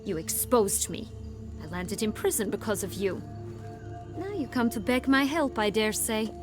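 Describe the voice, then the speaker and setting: A woman's voice: on the high side, animated, moderate pace, close to an everyday voice. A woman playing a proud, bitter banished noblewoman in a video game, wry and taunting, with menace. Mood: wry, guarded. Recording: music behind the voice.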